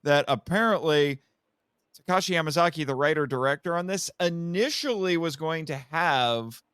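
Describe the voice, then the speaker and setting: A man's voice: fairly high pitch, animated, normal speed, a bit bright, clear, resonant tone, dry recording, clean studio sound. A man chatting casually about films as a podcast guest, opinionated and effusive, fond of superlatives. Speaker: podcast guest, kaiju film commentator. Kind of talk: casual film discussion.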